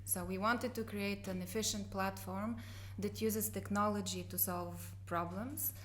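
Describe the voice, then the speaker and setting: Interview guest: middle-aged woman, even and level delivery, measured business talk. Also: mid-range voice, a little hiss or hum. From Bulgarian and Polish parents. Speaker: guest, company co-founder.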